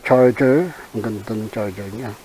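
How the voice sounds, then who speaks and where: A Filipino man giving casual unboxing commentary as a vlogger, halting and thinking aloud in an unscripted way. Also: lowish voice, mellow, low-quality recording. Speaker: vlogger reviewing a product. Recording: hissy background noise.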